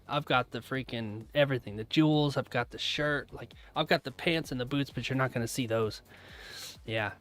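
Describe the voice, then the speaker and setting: A man's voice: on the high side, fairly bright tone, dry recording. A man hosting a live trading stream, chatting casually with his viewers, laughing often and rambling through asides. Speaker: trading livestream host.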